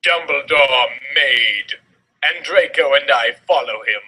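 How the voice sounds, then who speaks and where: A man's voice: medium pitch, slow, bright, forceful tone, rough recording. A man narrating a fan fiction story as a theatrical, exaggerated comedic dramatic reading. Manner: melodramatic, exaggerated.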